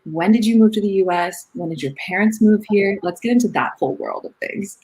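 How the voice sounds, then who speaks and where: A woman's voice: mid-range voice, fairly quick, mellow, poor audio. A woman hosting a casual online interview show, upbeat and encouraging with her guest, on a video call mic. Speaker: podcast host.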